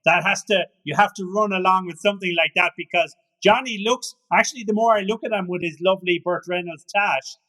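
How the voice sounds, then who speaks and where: A man's voice: high-pitched, steady tone, a little fast, few pauses, pushed and bright, rough recording. A man hosting an interview, asking rambling, playful questions in a casual way. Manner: rambling, jokey questions with restarts. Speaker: podcast host, interviewer.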